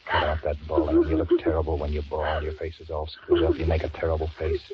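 A man's voice: rumbling low, monotone, fast talker, dull audio. An actor playing a nervous, cowardly young man in an old radio drama, muttering anxious thoughts and sweet-talking a woman. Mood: impatient scolding. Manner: anxious muttering asides, then coaxing sweet talk. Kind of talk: 1940s radio acting with inner monologue. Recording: scratchy, hissy old radio recording.

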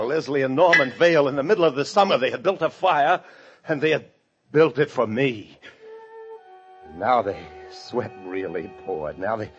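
An actor playing a paranoid fugitive husband, narrating an old-time radio thriller with intense dread. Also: male voice, sits low, close to an everyday voice. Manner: intense, breathless dread, swinging from brooding to frantic shouts. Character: desperate, paranoid fugitive husband confined in a locked room. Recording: dull old radio recording with music.

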